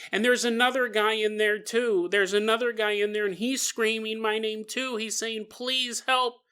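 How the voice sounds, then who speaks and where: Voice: medium pitch, fairly even intonation, normal speed, pushed and bright, smooth, voice pushed up, close to an everyday voice. A storyteller voicing a terrified three-year-old girl who pleads insistently for help, repeating herself. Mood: desperate pleading.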